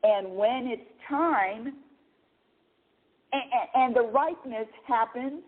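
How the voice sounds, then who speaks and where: A middle-aged woman hosts a spiritual talk show, her voice swinging widely in pitch as she talks. She often restarts and repeats words and laughs between thoughts. The sound is narrow and phone-like. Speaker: radio show host. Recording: phone-like lo-fi line.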